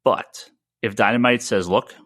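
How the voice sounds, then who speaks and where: A man with a fairly low, very bright and forward voice, recorded close and dry. He talks like a podcast host giving casual commentary. His delivery is halting, with stammered starts and repeated words. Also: steady tone, no room sound. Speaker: podcast host and comic shop owner.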